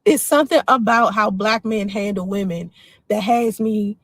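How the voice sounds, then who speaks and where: A woman's voice: medium pitch, moderate pace, dry recording. A woman in her forties from the Washington, D.C. area, hosting a casual livestream and speaking her mind while answering viewer comments. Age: 40s. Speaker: livestream host.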